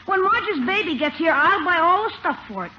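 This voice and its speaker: A woman's voice: very high-pitched, animated, brisk, pushed and bright, heavily acted. A woman playing an eager, slangy, scheming young boy in a 1950s radio sitcom. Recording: muffled, noisy old radio broadcast recording.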